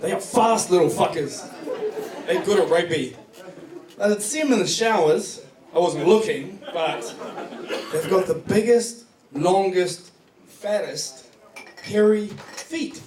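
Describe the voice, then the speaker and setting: Male stand-up comic, New Zealand accent, high voice, profane storyteller, recorded from a distance in a club. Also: takes it slowly, pauses often, darkish tone. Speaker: stand-up comedian. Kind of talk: stand-up comedy set. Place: comedy club stage before a live audience. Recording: distant echoey club recording, noisy laughing crowd.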